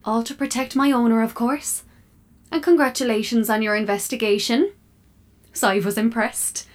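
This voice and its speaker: A woman's voice: fairly high pitch, fairly even intonation, normal speed, lightly acted. A woman playing an opinionated, scheming female smart fridge in an audio drama, sly and self-assured. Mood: sly, self-satisfied.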